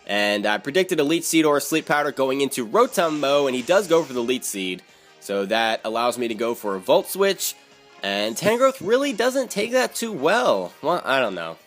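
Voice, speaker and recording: A man's voice: mid-range voice, sing-song, normal speed, fairly bright tone. A man delivers play-by-play commentary on his own video game match in a mid-range voice. He often repeats words and short phrases to stress a point. Music plays behind his voice-over. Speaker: gamer narrating own battle video.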